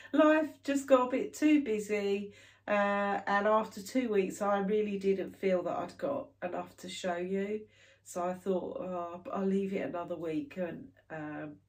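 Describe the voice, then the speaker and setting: A woman in her thirties, stuffed up with hay fever, chatting casually to camera about her stitching. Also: medium pitch. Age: 30s. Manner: chatty, rambling, many fillers. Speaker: cross stitch vlogger.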